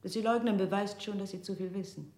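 A woman's voice: medium pitch, steady tone, fast, a little mellow, reverberant, natural voice. An actress playing a female interrogator in a radio play, politely menacing, swinging between soothing and accusing. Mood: cold, polite.

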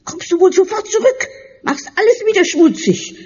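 Old busybody woman for radio drama: high, swooping, scolding, in a reverberant space. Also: very animated, normal speed, a little mellow. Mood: fussy, scolding. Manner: exclaiming, theatrical. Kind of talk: horror audio drama acting. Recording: dull sound, reverberant stairwell.

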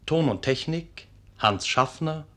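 Slow, formal male announcer. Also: a little high, animated, fairly bright tone. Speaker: radio announcer. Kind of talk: formal scripted credits reading.

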